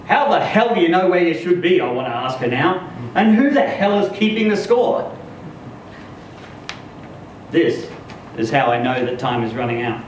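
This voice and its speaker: A man's voice: a little high, normal speed. Man reading fiction aloud in echoey room. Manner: expressive storytelling, acts out dialogue. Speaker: story reader. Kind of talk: live dramatic reading of first-person literary fiction. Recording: echoey room, distant mic, noisy.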